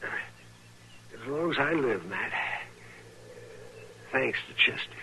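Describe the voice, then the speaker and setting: An actor playing a wry, cantankerous frontier doctor in an old-time radio western, grumbling and sputtering. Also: male voice, mid-range voice, expressive, drawn-out words, vocal fry, heavily acted. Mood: indignant. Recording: slightly noisy vintage radio recording.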